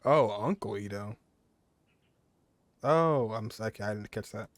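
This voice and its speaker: A man's voice: lowish voice, a little slow, no room sound. A man doing casual let's-play commentary as a gaming streamer, musing aloud to himself as he plays.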